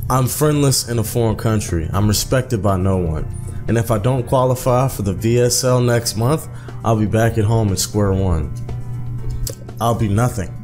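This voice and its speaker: A man's voice: fairly low pitch, normal speed. A young man reading a visual novel aloud as a gaming host, with casual asides. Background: faint music.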